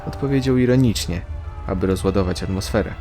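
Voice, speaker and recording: A man's voice: low-pitched, fast. A man narrating a fantasy audio drama in a scripted, dramatic storytelling style. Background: music.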